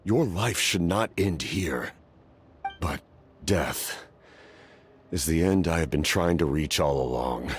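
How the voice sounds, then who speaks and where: A weary, ancient warrior captain from a fantasy game, grave and stoic. He speaks deliberately in a very deep voice, with faint ambient noise behind him. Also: animated, full character voice. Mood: grave resolve. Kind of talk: scripted video game voice acting.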